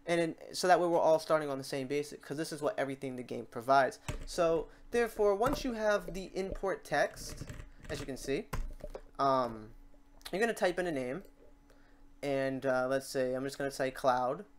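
A man's voice: a little high, normal speed. Man rambling through a tutorial, noisy mic. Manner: rambling, thinking aloud while working. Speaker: tutorial host. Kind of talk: casual software tutorial narration. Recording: clearly noisy mic, keyboard clicks. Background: typing and mouse clicks.

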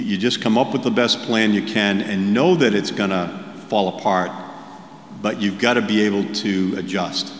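Man in his sixties, lowish voice, candid keynote speaker; echoey hall sound with noise behind. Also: a bit bright. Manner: candid, plainspoken, with frequent restarts. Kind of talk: conversational keynote Q and A. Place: large hall with a seated audience.

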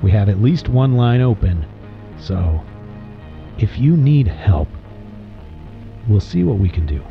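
A man acts out a weary radio host at the end of everything in an audio drama. He speaks slowly and haltingly, brooding and resigned, with wide swings in pitch. The sound is a dull broadcast. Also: expressive, gravelly, heavily acted. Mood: weary resolve. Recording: dull band-limited broadcast sound under music.

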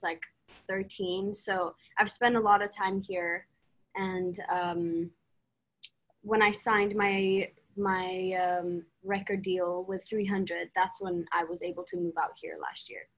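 A woman's voice: mid-range voice, a bit bright. Upbeat young woman from Sweden on a video call. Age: young adult. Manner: chatty and upbeat, fillers and restarts. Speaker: singer being interviewed. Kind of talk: casual video-call interview. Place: small room indoors. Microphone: laptop mic on a video call, no earphones.

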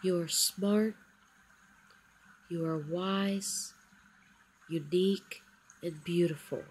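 A woman narrating a scripted guided meditation in a soothing, encouraging manner, with short phrases and long pauses. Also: on the low side, steady tone, darkish tone, slight background noise. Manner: encouraging affirmations. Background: background music.

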